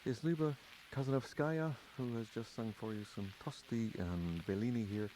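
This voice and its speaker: A man hosts a radio interview, asking gentle, hesitant questions with small restarts. His voice is mellow and mid-pitched. A faint hiss from an old radio broadcast runs under it. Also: normal speed, rough recording. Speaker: radio host. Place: radio studio.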